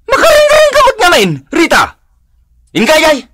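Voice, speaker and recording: A man's voice: very high-pitched, huge pitch swings, measured pace, sharp tone, dry recording, studio quality, heavily acted. An actor playing a cantankerous old man in an Ilocano comedy radio drama, scolding abruptly in an Ilocano accent. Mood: irritated, scolding. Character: cantankerous loud old man guarding his yard.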